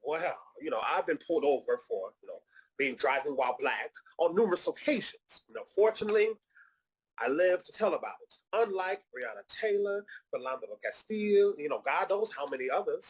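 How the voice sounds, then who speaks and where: A man's voice: on the high side, animated, fairly quick, husky, muffled recording. An actor playing a sardonic, unimpressed, streetwise man in a comedic table read, talking in a pointed, conversational way.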